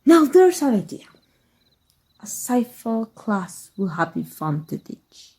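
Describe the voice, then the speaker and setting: Woman doing evil magic teacher in asmr roleplay, wry but fond, really dark mellow husky voice, slow n soft. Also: fairly high pitch, very animated, exaggerated voice. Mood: dryly sarcastic. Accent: Mexican. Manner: wry, unhurried, intimate, addressing a former student.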